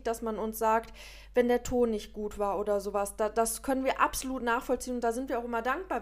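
A woman co-hosting a podcast in casual chat, with a regional German dialect and a speech impediment, talking hesitantly with many fillers. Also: fairly high pitch. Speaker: podcast co-host.

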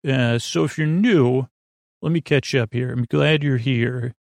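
A man hosting a sleep podcast with a creaky voice, rambling in a soothing, meandering, silly way and fumbling his words as he improvises. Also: mid-range voice, measured pace, darkish tone, no echo. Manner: soothing, lulling. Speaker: podcast host.

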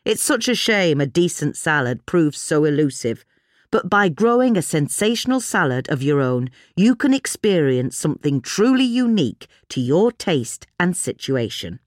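A woman narrates from a script in a low voice. Her intonation moves around a lot, and the recording is dry and close, with no room sound. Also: big pitch swings, moderate pace, dry recording. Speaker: article reader.

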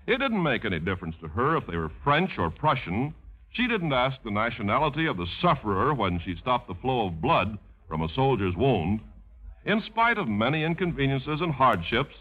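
A man's voice: medium pitch, husky. A man announcing and reading scripted narration in 1950s radio style. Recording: muffled, phone-like vintage radio broadcast.

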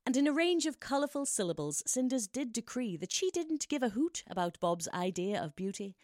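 A woman's voice: on the high side, very animated, a little fast, a little mellow, dry recording, clean studio sound. A woman co-hosting a podcast, narrating a rhyming comic story in a playful, theatrical way.

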